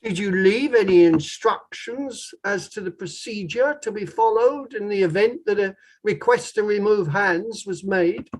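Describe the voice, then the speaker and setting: A man playing a formal, probing barrister who questions in a measured way, in a table read of a courtroom play. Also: sits high, poor audio. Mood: methodical questioning. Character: probing male inquiry barrister, formal and methodical.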